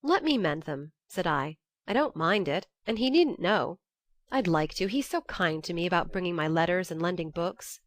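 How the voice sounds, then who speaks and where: A woman narrates a classic novel in the first person, measured and expressive. Her voice sits slightly low and is recorded very dry and close, without room sound. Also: no echo. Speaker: audiobook narrator.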